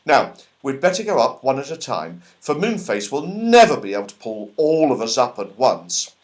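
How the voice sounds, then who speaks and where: A man's voice: mid-range voice, big pitch swings, normal speed, voice pushed up, lightly acted. A man voicing a keen, sensible young boy in a children's storybook. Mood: brisk.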